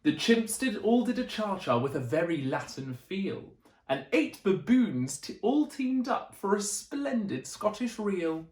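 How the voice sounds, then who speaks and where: A woman reads a children's bedtime story with an animated, widely varying pitch. Her delivery is expressive and deliberate, and the recording has a clear room echo. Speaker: teacher reading a bedtime story. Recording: echoey room.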